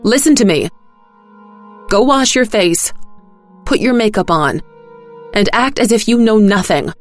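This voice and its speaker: A woman plays a commanding woman in an audio drama. She speaks up forcefully and urgently, giving firm orders as someone trying to keep control, with faint music underneath. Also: mid-range voice, lively intonation, measured pace, voice pushed up, lightly acted. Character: Anne, commanding, urgent woman keeping a friend in line.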